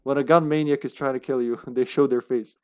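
A man's voice: medium pitch, fairly even intonation, fairly quick, a little mellow, clear, resonant tone. A man in his thirties with a Serbian accent, joking and laughing through casual live gameplay commentary. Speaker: streamer.

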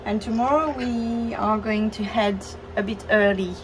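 A woman's voice: mid-range voice, measured pace. Woman on a sailboat, noisy camera audio. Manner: relaxed, laughs easily. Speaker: sailing vlogger. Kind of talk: casual chat. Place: outdoors on a sailboat at sea.

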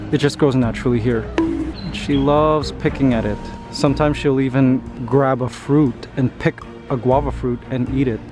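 Chatty man vlogging on a street. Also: on the high side. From Canada. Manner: chatty, laughs often, talks to his parrot. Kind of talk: casual walking vlog. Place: outdoors on a city street. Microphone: handheld camera at arm's length. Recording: loud music bed over the voice.

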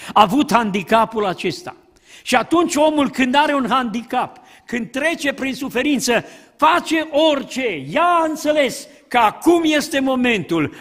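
An older man delivering a sermon at a pulpit in a church, talking with animated gestures into a pulpit microphone. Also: sits high, long reverb tail. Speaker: preacher. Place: church hall. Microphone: pulpit mic.

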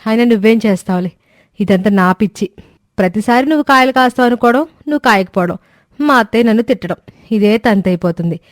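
A woman's voice: fairly high pitch, fast talker, no room sound, studio quality, natural voice. A woman voicing a cheerful but stubborn young village daughter-in-law in a cartoon story, earnest and pleading. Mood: affectionate, pleading. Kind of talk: cartoon moral-story voice acting.

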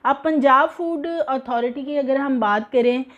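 A woman's voice: high voice, unhurried, muffled recording. A young woman with a Pakistani Urdu accent giving spoken news commentary from a living room, talking conversationally with many fillers and an indignant tone. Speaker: news presenter. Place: indoor living room with TV behind.

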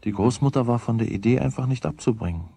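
A man with a husky voice reading scripted storybook narration in a radio play. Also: medium pitch, fast talker, dark tone, dry recording.

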